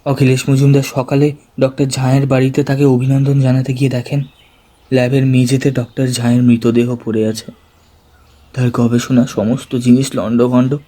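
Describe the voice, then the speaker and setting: A man playing an earnest, excitable college student in an audio drama, hesitating and repeating words. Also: medium pitch, moderate pace, natural voice. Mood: urgent, hesitant. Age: young adult. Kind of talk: Bengali audio drama acting.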